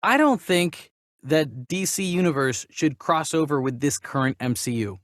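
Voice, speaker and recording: A man's voice: on the high side, lively intonation, moderate pace, fairly bright tone, no echo, clean studio sound. A man hosting a discussion show, giving opinions casually and emphatically, often repeating phrases as he builds his point. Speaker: host of a movie news show.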